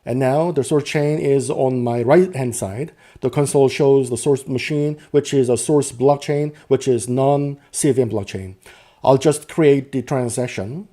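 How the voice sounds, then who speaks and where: A man's voice: medium pitch, unhurried, dark tone. A man narrating an unscripted technical demo, explaining each step and often rephrasing himself as he goes. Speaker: presenter demonstrating software.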